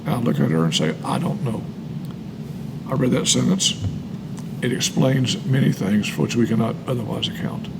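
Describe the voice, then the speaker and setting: A man's voice: mid-range voice, expressive, moderate pace, vocal fry, very noisy. An American man reading aloud and sharing personal testimony, emphatic and repeating phrases for weight. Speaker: co-host reading and commenting on a recovery book.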